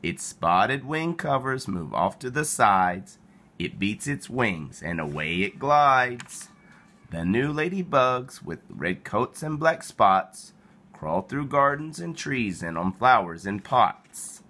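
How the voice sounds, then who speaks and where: A man reading a children's picture book aloud in an expressive storytelling style, animated and playful. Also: medium pitch, slow pace. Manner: animated, with playful exclamations and sound effects.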